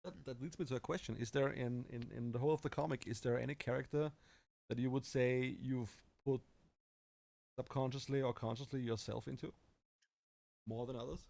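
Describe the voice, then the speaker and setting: Male livestream questioner, creaky mid-range voice, hesitant careful phrasing, dry sound. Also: mid-range voice, steady tone, fairly quick, darkish tone, no echo.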